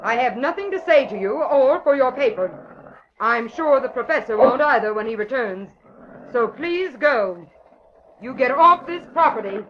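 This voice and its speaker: A woman's voice: high-pitched, animated, normal speed. An actress playing a stern, tight-lipped woman who gives curt, scolding commands in an old radio drama. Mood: cold, hostile. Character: gaunt, tight-lipped, hostile woman with guard dogs. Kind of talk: 1940s radio drama acting. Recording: old noisy radio recording, dull.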